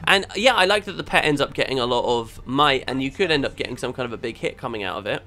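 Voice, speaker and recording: Animated, bright-voiced man talking games bluntly. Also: mid-range voice, fast talker. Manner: blunt, emphatic, argumentative. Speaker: game build reviewer and critic. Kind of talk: casual critical gaming chat.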